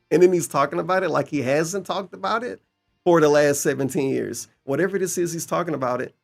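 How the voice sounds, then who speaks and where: A man's voice: on the high side, fairly quick, creaky, soft background music. A man giving casual, mocking reaction commentary as a streamer, incredulous, sarcastic and profane.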